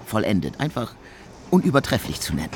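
Cultivated composer, low voice, reserved, dry irony, formal, radio play, noisy ambience. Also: male voice, sing-song, brisk. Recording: clear background noise and ambience.

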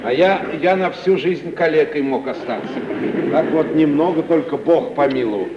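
An actor playing a meek, plaintive provincial comedian in an old radio play, fussing and repeating himself in whining protest. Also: male voice, on the high side, normal speed, natural voice. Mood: aggrieved storytelling. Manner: stammering repetitions, whining, fussy protest. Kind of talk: mid-century Russian stage radio acting. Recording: muffled, noisy, roomy 1950 radio recording, off-mic.

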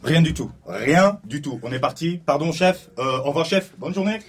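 A man's voice: a little high, animated, moderate pace, slight background noise, lightly acted. Man playing a nervous, sheepish policeman sidekick. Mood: sheepish, flustered. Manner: hesitant, stammering. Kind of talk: comedy audio drama acting.

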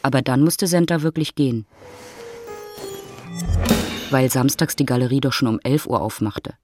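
An actor as the narrator of a literary love story, speaking in a dry, matter-of-fact manner. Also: male voice, mid-range voice, moderate pace, over music, close to an everyday voice.

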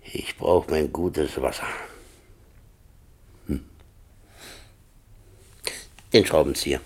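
An actor playing a stubborn, grumbling man in his seventies in a German radio play, curt and gruff with a rough old voice. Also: rumbling low, very slow, heavily acted. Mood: gruff, bitter. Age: 70s.

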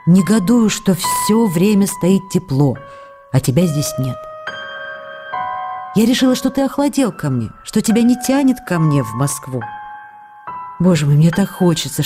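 An actress reading a passionate young actress's letters to her husband in a radio play, ardent and reproachful by turns. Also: on the low side. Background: music.

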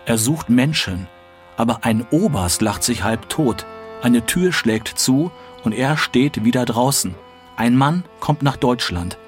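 A man giving a dramatic reading of literary prose in short, deliberate phrases. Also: medium pitch, lively intonation, soft background music. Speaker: literary reader.

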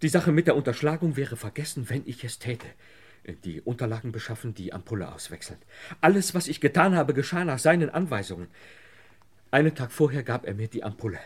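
An actor playing a desperate, broken man under police questioning in a radio crime play, halting and pleading. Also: mid-range voice, expressive, breathy edge. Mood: agitated, pleading. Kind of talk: German radio crime play acting, 1950s to 80s.

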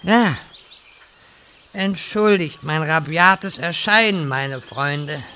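Man acting as touchy grand wizard, slightly high voice, unhurried, theatrical, slangy when flustered, fantasy parody audio play. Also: pushed and bright, clear, resonant tone. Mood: grandiose.

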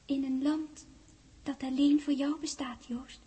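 An actress playing a tender, teasing young woman from a man's memory, in 1960s radio drama style with lyrical, deliberate phrasing. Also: sits high, level tone, dark tone. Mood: wistful, haunting.

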